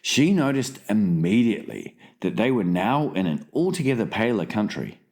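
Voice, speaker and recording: A man's voice: on the low side, big pitch swings, moderate pace, darkish tone. A man reads as an audiobook narrator. His delivery is dramatized storybook narration, telling the tale in scripted prose.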